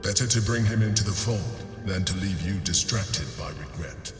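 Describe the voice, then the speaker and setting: A man voicing a calm, calculating inhuman protector in a game, speaking in a measured, formal way. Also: deep voice, natural voice. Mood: calm, commanding. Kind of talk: video game voice acting. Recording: distant, long echoing reverb, loud music.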